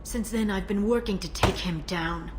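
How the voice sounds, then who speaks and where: An actress playing a composed, determined female information broker in a sci-fi video game, measured and earnest. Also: mid-range voice, lightly acted. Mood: earnest, guarded. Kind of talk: scripted video game dialogue. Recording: noisy ambient background.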